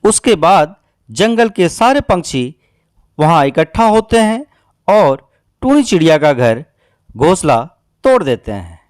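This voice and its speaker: A man's voice: fairly high pitch, big pitch swings, unhurried, dry recording, studio quality. A man narrating a scripted children's cartoon story as a storyteller.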